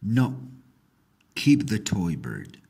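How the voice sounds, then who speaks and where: A man voicing a small, gentle, free-spirited male nightingale in a storybook, kindly and assured. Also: deep voice, wildly swooping pitch, extremely slow, creaky, slightly rough, heavily acted. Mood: gentle, firm.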